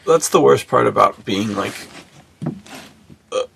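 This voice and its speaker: A man's voice: fairly low pitch, lively intonation, measured pace, creaky, a bit bright, a little hiss or hum. An American man co-hosting a podcast in a casual, slangy, rambling way, slipping into imagined remarks as he goes. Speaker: podcast co-host. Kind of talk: casual chat.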